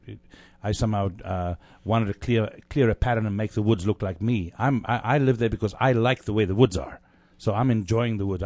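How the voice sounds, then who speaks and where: A man with a slightly deep voice chats casually in a very dry recording. He feels his way through sentences, repeating small words as he hosts the conversation. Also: mellow, no echo. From grew up in Africa.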